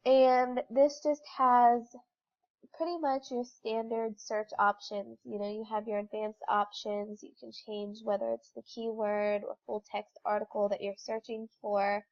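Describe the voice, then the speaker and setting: Young woman stuffed up from a cold doing a class presentation, kinda high voice, muffled lo-fi sound. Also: flat delivery, a little slow, a little mellow. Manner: informal, explanatory, with fillers. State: congested from a cold. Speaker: student presenter. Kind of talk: recorded slideshow presentation.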